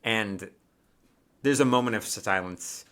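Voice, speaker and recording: A man's voice: lowish voice, slow, a bit bright, clear, resonant tone. A man working as game master, narrating a tabletop game in casual, improvised chat with asides and hesitations.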